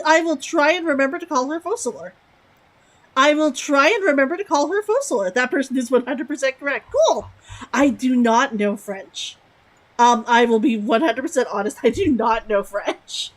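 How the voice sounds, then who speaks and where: A woman's voice: high-pitched, a bit bright. A young woman streaming a game, chatty and giggly, repeating words aloud to test how they sound. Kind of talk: live game commentary.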